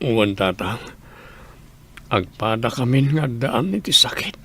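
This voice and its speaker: Man acting slow, gravelly, remorseful sick son. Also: mid-range voice, expressive, a bit bright, heavily acted. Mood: weary regret. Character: sick, remorseful adult son, weary and resigned. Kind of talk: dramatized story acting.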